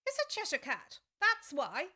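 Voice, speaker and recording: An actress playing a bad-tempered noble Duchess who talks bluntly and rudely, with sudden violent outbursts. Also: female voice, extremely high pitch, huge pitch swings, unhurried, sharp tone, full character voice. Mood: curt rude. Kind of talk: audiobook drama acting.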